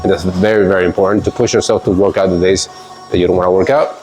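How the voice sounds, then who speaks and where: A man with a somewhat low voice talks through his workout in a loose, rambling way. He sounds stuffy and congested, as if he has a cold. Quiet music plays faintly in the background. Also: fast talker. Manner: relaxed, rambling, with fillers and laughs. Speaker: fitness vlogger. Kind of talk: casual workout vlog talk.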